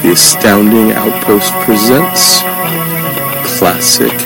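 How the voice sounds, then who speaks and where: A man's voice: lowish voice, slow. A man announcing a show in a dramatic, scripted intro style. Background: very loud music.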